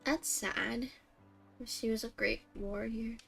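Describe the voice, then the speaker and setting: Female game streamer, slightly high voice, slow casual musing, light music bed. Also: steady tone, gravelly, fairly bright tone. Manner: musing, reacting aloud in short remarks. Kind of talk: casual livestream game commentary.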